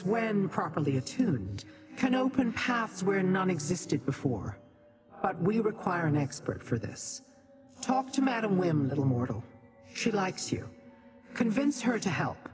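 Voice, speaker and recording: An imperious demon sorceress for a fantasy game. Her speech is formal and haughty, swinging widely in pitch as she lectures, with music playing clearly behind her. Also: darkish tone. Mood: haughty, resolute. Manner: formal, measured, haughty delivery. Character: imperious, scholarly female demon sorceress, scornful of mortals. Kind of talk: video game dialogue.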